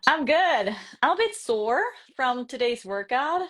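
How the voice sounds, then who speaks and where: A woman's voice: sits high, expressive, measured pace, a bit bright. Casting note: Swiss-born female guest, chatty and easygoing, remote call audio. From Switzerland. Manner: chatty, laughs easily, fillers like "like". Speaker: podcast guest, fitness coach. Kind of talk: casual live-stream chat.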